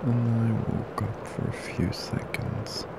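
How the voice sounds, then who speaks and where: A man doing an intimate boyfriend ASMR roleplay close to the mic, murmuring softly, drowsily and affectionately. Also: flat delivery, measured pace, heavy background noise. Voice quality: low, gravelly, creaky. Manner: soft, drowsy, affectionate murmuring, often breathy half-whisper. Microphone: close ASMR microphone.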